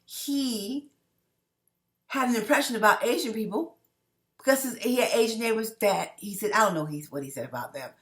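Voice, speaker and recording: A woman's voice: fairly high pitch, lively intonation, normal speed. A middle-aged woman from New York City, talking straight to her viewers in a rambling personal monologue. She restarts her sentences a lot and keeps checking in with "okay?". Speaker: vlogger.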